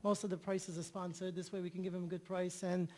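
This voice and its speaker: A man's voice: sits high, flat delivery, quick pace, a little mellow, in a large hall. A man telling an enthusiastic story as a guest on an interview show, often repeating himself. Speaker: guest, charity organizer.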